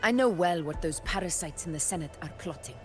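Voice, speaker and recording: A woman voicing a proud, resolute warrior ruler in a video game, speaking in a formal, measured, commanding way. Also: lowish voice, lively intonation, close to an everyday voice. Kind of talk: dramatic game dialogue. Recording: music behind the voice. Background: background music.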